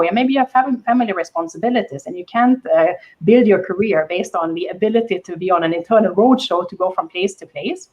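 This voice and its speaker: A woman with a soft voice speaking on a panel over a video call, thoughtful with many fillers and restarts. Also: mid-range voice, muffled recording. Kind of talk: panel discussion talk.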